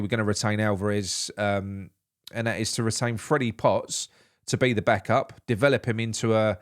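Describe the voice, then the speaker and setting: A man, nasal from a blocked nose, hosting a solo live football morning show in a rambling, opinionated way. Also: mid-range voice. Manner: opinionated, rambling with frequent restarts. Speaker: football podcast host.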